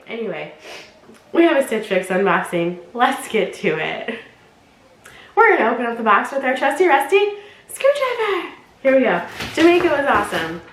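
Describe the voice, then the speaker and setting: A woman's voice: a little high, roomy sound, noisy background. A young woman talking casually in her vlog, bubbly and rambling and laughing often, her nose stuffed up from allergies. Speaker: fashion unboxing vlogger.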